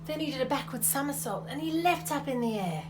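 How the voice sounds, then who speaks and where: A woman's voice: high-pitched, expressive, fairly quick, background noise. A woman hosting storytime, reading a children's storybook expressively.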